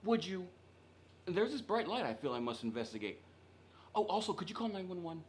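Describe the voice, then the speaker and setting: Man as a high-voiced bumbling comedy host. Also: very animated, fairly quick, slight background noise. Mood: bumbling, dazed. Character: bumbling, defensive male amateur podcast host. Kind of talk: comedy radio sketch.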